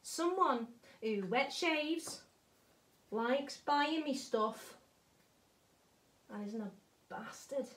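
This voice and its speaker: A woman's voice: high voice, lively intonation, drawn-out words, pauses often, fairly bright tone, heavily acted. A woman acting a jaded, wistful hotel cleaner in a stage monologue, blunt, wry and conversational. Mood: wistful, weary. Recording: roomy, echoing space.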